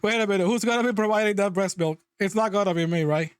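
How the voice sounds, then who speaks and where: A man's voice: high-pitched, fast, clean tone. A man streaming a reaction video, chatting casually and joking with his guest and viewers.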